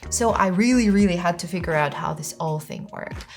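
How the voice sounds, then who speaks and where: Brief: woman in her 30s, Kazakh accent, a little low, reflective personal storytelling over soft music. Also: brisk. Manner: earnest, reflective, lists in short phrases. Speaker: filmmaker vlogger.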